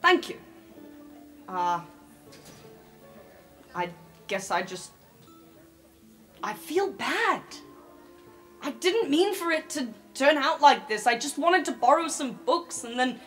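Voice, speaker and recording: A woman's voice: sits high, expressive, takes it slowly, pauses often, fairly bright tone, soft background music, full character voice. A woman playing an awkward, apologetic young male student in a tabletop game, speaking hesitantly and earnestly. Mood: glum, hesitant. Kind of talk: improvised tabletop roleplay.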